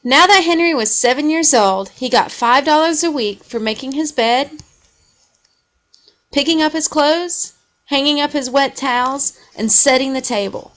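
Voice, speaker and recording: A woman reading a children's story aloud in an expressive way. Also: sits high, unhurried. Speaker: storybook reader.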